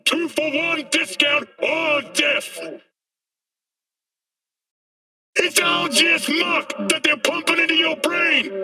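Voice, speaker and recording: An actor playing a fiery dystopian street preacher in a video game, ranting in an impassioned, declamatory way. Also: male voice, extremely high pitch, pushed and bright, distant mic, exaggerated voice, low-quality recording. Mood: outraged. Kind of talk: dramatic video game monologue.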